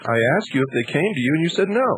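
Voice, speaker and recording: A man's voice: fairly high pitch, sing-song, rapid-fire, slight background noise, rough recording. An American man hosting a live call-in radio show, questioning and debating in a confrontational, insistent, blunt way. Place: radio studio.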